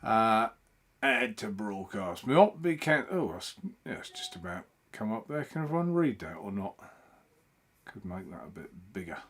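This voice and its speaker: A man's voice: lowish voice, big pitch swings, moderate pace, a bit bright. A man hosting a casual live stream from home, chatting in a rambling way and greeting viewers by name.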